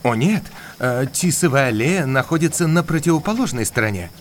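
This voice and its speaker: An actor playing a polite, obliging country doctor with a slightly hesitant manner in an audio drama. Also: male voice, a little high, expressive, brisk, slight background noise, close to an everyday voice. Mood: explanatory. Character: courteous country doctor, obliging family friend.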